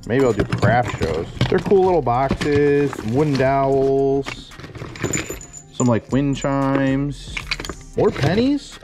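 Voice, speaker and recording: A young man of middle pitch rummages through a storage unit, exclaiming with delight at each find. His casual, excitable chatter is caught on a head-mounted camera mic. Faint music sits under his voice. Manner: excitable, keeps exclaiming wow and singing snatches. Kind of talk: excited casual narration while unboxing.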